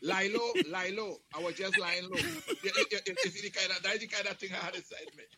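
A man from the Caribbean chatting casually as a guest on a video call, lively and laughing, sometimes breaking into a sung line. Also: sits high, fast, a little hiss or hum. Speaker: guest entertainer.

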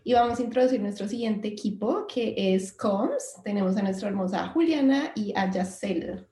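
A young woman from Colombia with a Bogotá accent, introducing her student club's team on a radio show in a friendly, brisk way. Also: medium pitch, dark tone, roomy sound. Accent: Bogotá Colombian Spanish. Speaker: student club member presenting the team. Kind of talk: casual radio chat.

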